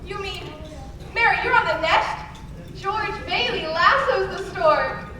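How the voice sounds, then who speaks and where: A woman's voice: extremely high pitch, takes it slowly, bright, forceful tone, a little hiss or hum, exaggerated voice. A young woman playing an earnest small-town husband in an old-fashioned radio play, with a folksy, emphatic delivery. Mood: tender, regretful. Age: young adult. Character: earnest small-town husband and loan man, hot-tempered under strain. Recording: echoey live stage, distant mic, music behind.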